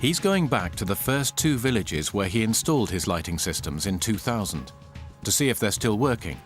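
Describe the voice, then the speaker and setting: Low-voiced male documentary narrator. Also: big pitch swings, moderate pace, soft background music.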